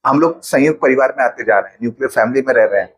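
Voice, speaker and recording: A man's voice: medium pitch, very fast, husky. A man, a financial planner patiently explaining money matters with everyday examples in an interview.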